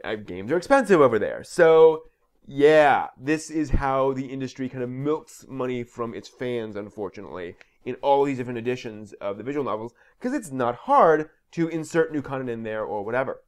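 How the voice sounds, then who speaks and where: A man's voice: medium pitch, lively intonation, normal speed, clean tone. A man hosting an explainer video, speaking in an informal, conversational way with emphatic stresses and asides that trail off.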